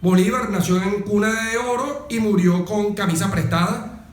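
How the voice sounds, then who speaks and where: A Venezuelan man speaks in a clearly high-pitched voice, recorded in an echoey room. He gives political commentary like a teacher, repeating key phrases for emphasis and checking in with short questions. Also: moderate pace. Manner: didactic, emphatic, repetitive, with rhetorical questions and check-in tags. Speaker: interviewed guest.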